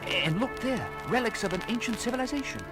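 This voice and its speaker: An actor playing an excitable, learned and fretful talking chimpanzee with fussy scholarly wording in a 1970s record drama. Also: male voice, very high voice, fast, over loud music. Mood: fascinated. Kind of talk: 1970s children's record audio drama acting.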